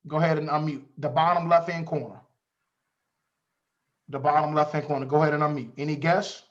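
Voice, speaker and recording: A man's voice: a little high, steady tone, fairly quick. A man hosting a recruitment conference call, hyping guests and repeatedly urging them to unmute. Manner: repetitive, rallying, pushing guests to unmute. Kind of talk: hype-driven group call hosting. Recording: dull lo-fi call audio.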